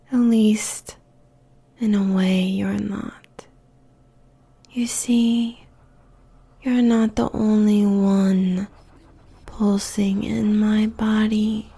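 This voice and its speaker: A woman's voice: medium pitch, warm tone, husky, heavily acted. A woman playing a seductive, possessive demon succubus queen in a roleplay audio, whispering breathily and savouring her words with teasing sensuality.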